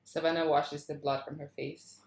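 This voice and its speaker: A woman with a notably low voice reads scene headings and action lines from a script. She delivers scripted narration for a table read, and the room around her is clearly echoey. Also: little pitch movement, normal speed, a little mellow. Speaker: stage directions reader at a screenplay table read.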